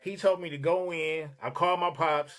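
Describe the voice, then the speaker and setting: A man from Los Angeles, a podcast guest, telling a casual, streetwise story in an animated, profane way. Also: a little high, moderate pace.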